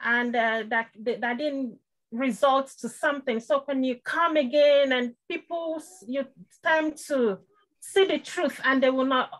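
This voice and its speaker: A woman's voice: high voice, a little slow, poor audio. A woman who is a student in an IT training program, giving a devout, heartfelt testimony of thanks on a video call, with short laughs now and then.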